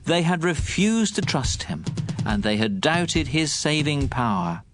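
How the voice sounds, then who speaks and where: Higher-pitched male scripture narrator over music. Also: big pitch swings, a little slow. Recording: music bed under the voice.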